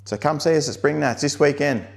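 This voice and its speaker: A man's voice: fairly high pitch, fairly quick. An Australian man talking casually and off the cuff as a car workshop vlogger, laid-back and plain-spoken with colloquial phrasing. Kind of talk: casual unscripted vlog talk. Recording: clear background noise of cars.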